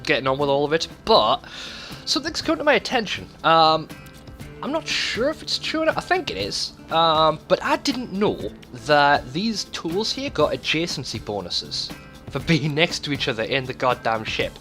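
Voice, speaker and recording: A man's voice: on the high side, big pitch swings, normal speed, sharp tone. A man doing casual, rambling video game commentary, swearing freely and talking to himself as he plays. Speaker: let's play host. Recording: music behind the voice. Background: game music.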